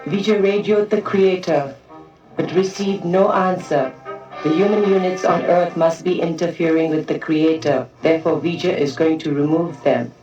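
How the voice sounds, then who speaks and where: A woman's voice: fairly low pitch, steady tone, normal speed. A woman playing a cold female machine probe, impersonal and declarative, with an echoing effect on a read-along record. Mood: cold, commanding. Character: Ilia probe, cold female machine mouthpiece of alien intelligence. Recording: echoey, distant, dull vintage record with music.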